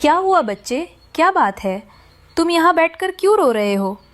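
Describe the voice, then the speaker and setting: Caring fairy acted by a woman, high voice, kindly, helpful, children's cartoon. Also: very animated, moderate pace.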